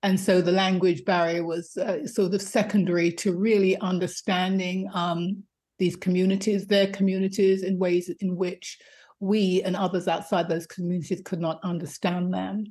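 A woman's voice: on the low side, little pitch movement, normal speed, a little mellow. A woman speaking as an interview guest in a thoughtful way, with fillers and repeated words. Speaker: guest, co-editor. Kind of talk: conversational interview.

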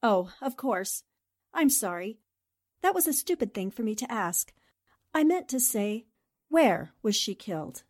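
Woman doing an old-time radio girl reporter, high lively voice, eager and polite, dry close sound. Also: a little slow, no echo. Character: quick-witted woman newspaper reporter. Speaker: newspaper reporter. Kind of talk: 1940s radio drama acting.

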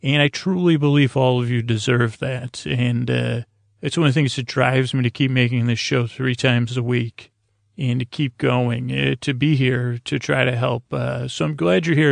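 A man with a creaky voice hosting a sleep podcast, talking in a meandering, halting, gently goofy and lighthearted way. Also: mid-range voice, no echo, studio quality. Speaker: podcast host. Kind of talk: rambling, informal sleep podcast monologue.

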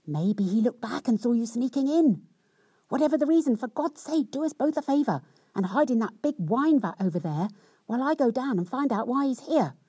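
A woman voicing a cunning, theatrical young wife who berates her husband in a loud, indignant, sweary tirade. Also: a little high, expressive, fairly quick, slightly rough, dry recording, voice pushed up. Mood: panicked.

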